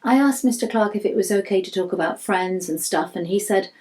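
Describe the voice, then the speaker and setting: A woman's voice: medium pitch, fairly quick, a little mellow, close to an everyday voice. A woman voicing an anxious, candid schoolboy reading out his diary in a chatty, confiding way for a children's audiobook. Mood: worried, confiding. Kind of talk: first-person children's audiobook narration.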